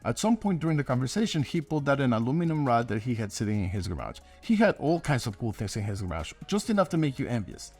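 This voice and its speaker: A man's voice: medium pitch, very animated, fast, hardly any pauses, darkish tone, husky, faint music behind. A man hosting his own channel as an inventor, narrating a scripted video update to viewers. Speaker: host and inventor.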